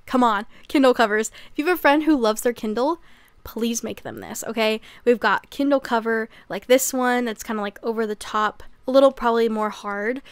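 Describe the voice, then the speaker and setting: A young woman in her twenties chatting casually about crafts as a channel host, upbeat and chatty, a little hoarse from losing her voice. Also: on the high side, no echo. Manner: chatty, upbeat, often ends lines with "okay?". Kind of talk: casual vlog chat.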